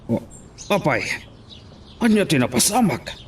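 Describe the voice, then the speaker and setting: A man's voice: a little high, sing-song, measured pace, slight background noise. A man with an Ilocano accent playing a stern, controlling middle-aged mayor and father in a radio drama. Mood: stern, suspicious.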